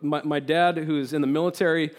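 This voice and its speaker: A man who grew up in Turkey and spoke Turkish first, preaching an earnest, animated sermon as a guest preacher and reading scripture aloud. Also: fairly high pitch, fast talker. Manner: earnest and animated, repeats words when emphasising, reads scripture aloud.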